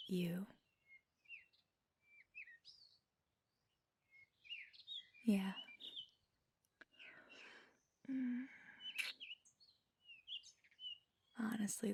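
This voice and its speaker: A woman playing a feisty, affectionate magical girlfriend in an intimate ASMR roleplay, speaking softly and tenderly, often near a whisper. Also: on the low side, creaky, background noise. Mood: tender, grateful. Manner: tender, teasing, lapsing into whispers.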